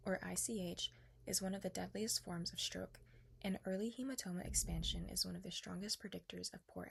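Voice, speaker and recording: A woman presents a scripted medical lesson in a husky, heavily creaky voice. Her pitch is average, and her delivery is formal and explanatory. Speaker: presenter, junior editor at a radiology education series. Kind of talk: scripted educational narration of medical research.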